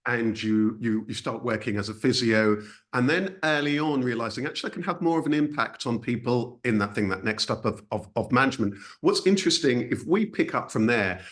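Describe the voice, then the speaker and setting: A man's voice: mid-range voice, expressive, fairly quick, hardly any pauses, a bit bright. A man hosting an interview as a coach, asking questions in an encouraging way and murmuring along as he listens.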